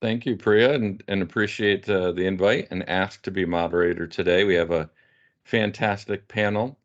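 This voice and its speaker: A man's voice: deep voice, fairly even intonation, normal speed. A man moderating an online panel in a casual, unscripted way. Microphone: video call. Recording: dull video call audio.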